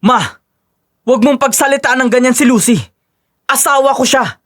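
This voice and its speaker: A woman's voice: sits high, steady tone, normal speed, a bit bright, no room sound, studio quality. A woman voicing an earnest, guilt-ridden husband and son in a dramatized audio story, pleading in manner. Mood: worried, reproachful.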